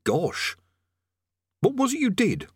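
A man's voice: sits high, wildly swooping pitch, takes it slowly, husky, dry recording, clean studio sound, voice pushed up, full character voice. A nervous storybook adventurer, performed by a man, talks in a lifted, swooping voice. He is evasive and cowardly, forever making polite, awkward excuses. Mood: argumentative, startled.

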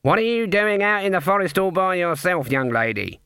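A man's voice: high-pitched, very animated, normal speed, sharp tone, clean tone, dry recording, voice pushed up, full character voice. A man voicing a stern old woman witch in an audiobook, brusque and commanding, though awkward with children. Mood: brisk, probing. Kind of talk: audiobook character voice.

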